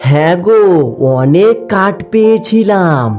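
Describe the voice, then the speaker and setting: A man playing a humble, contented village woodcutter husband in a children's cartoon, patient and deliberate. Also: high-pitched, animated, faint music behind. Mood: pleased, teasing. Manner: patient, deliberate, gently admonishing. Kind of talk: Bengali children's cartoon voice acting.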